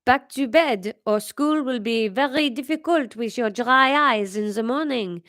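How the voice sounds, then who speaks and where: A woman's voice: a little high, a bit bright, no echo, clean studio sound. A woman voicing a worried, careful father with a French accent. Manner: slow and careful.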